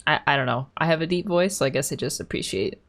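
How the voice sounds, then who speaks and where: A woman's voice: low-pitched, fast talker, vocal fry, fairly bright tone. A woman streamer giving casual, giggly commentary over her gameplay. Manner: laid-back, giggly, talks over the game. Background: game music.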